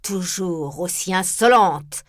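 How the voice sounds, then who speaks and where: Severe cold male judge, slow stern delivery, woman reader doing him, storybook, dry sound. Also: lowish voice, dry recording.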